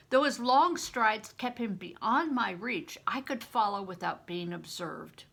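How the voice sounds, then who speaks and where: Older woman reading aloud, slightly raspy voice. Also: medium pitch, a bit bright. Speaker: storybook reader, retired reading teacher. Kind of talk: expressive scripted audiobook narration.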